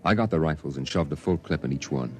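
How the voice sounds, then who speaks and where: A sober grown man recalling his boyhood, in a 1950s radio drama. His very low voice tells the story in a steady, measured way, with music playing clearly behind him. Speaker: narrator.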